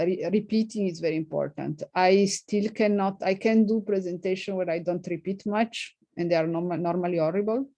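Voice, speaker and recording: A middle-aged woman physicist with a non-native English accent, answering questions in a halting way full of fillers and light laughs. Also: fairly low pitch, creaky, mellow. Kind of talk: informal seminar Q&A.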